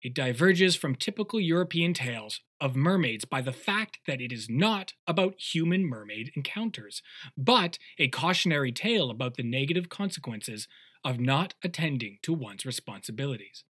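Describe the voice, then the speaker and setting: A man's voice: mid-range voice, expressive, normal speed, a bit bright, slightly rough. A man reading a scripted introduction as a podcast host.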